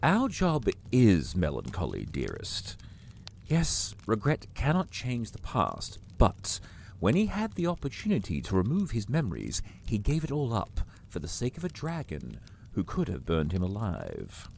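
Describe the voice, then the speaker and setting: A formal keeper of the afterlife. His low, gravelly voice swings widely in pitch as he reasons in measured words, over faint noise. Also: huge pitch swings, darkish tone, exaggerated voice. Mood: reasoning. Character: male afterlife judge, calm and reasoning.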